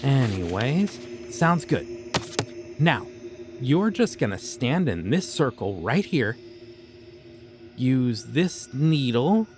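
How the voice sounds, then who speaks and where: A man voicing a friendly but creepy young occult shopkeeper in an audio roleplay, talking in a casual, easygoing way about dark rituals. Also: mid-range voice, big pitch swings, slow, pauses often, background noise. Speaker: voice actor.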